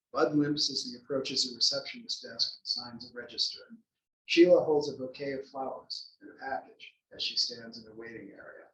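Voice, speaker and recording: A man's voice: medium pitch, fairly bright tone. A man reading scripted stage directions as the narrator at a play table read. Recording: echoey room, distant mic.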